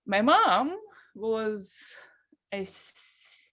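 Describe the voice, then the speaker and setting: A woman from Russia with a Russian accent, telling a personal story as a guest speaker in a halting, deliberate way. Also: a little high, very animated, pushed and bright.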